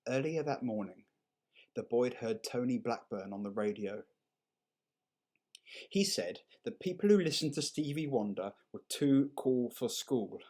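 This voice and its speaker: A man reading a short story aloud in an unhurried, measured and expressive storytelling manner. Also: medium pitch. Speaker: story reader. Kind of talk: dramatic short story narration.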